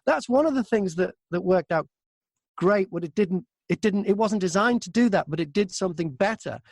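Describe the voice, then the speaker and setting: High-voiced man telling anecdotes, dry sound. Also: expressive, normal speed, no room sound. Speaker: audiobook narrator and interview guest. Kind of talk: casual anecdotal interview chat.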